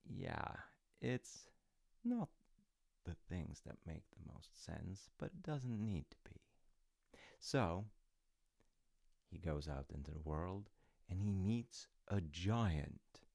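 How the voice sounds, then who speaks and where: A man playing a gentle, wryly amused dad who tells his kid a folktale in an unhurried, musing, conversational way for an audio roleplay. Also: low voice, lively intonation, creaky, no room sound, clean studio sound, exaggerated voice. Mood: gently amused. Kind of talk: audio roleplay, rambling bedtime-style storytelling.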